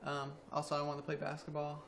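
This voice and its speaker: A young man around twenty, a college student athlete, answering interview questions quietly with many ums. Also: on the high side, completely flat delivery, fast, slight background noise. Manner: quiet, soft-spoken, hesitant with ums.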